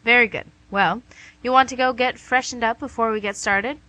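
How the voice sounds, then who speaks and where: A sly she-devil boss played by a woman in a radio comedy. Her voice is bright and fairly high, imperious and impatient with underlings. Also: fairly even intonation, moderate pace, no room sound, low-quality recording. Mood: brisk, bossy. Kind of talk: amateur radio comedy acting.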